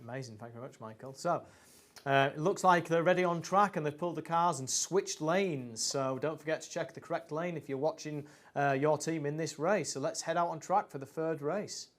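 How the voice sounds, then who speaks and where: A man's voice: a little high, a little fast, fairly bright tone. A man presenting a live school car racing show, upbeat, handing over between the commentators, with a few fillers. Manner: upbeat, links segments, some fillers.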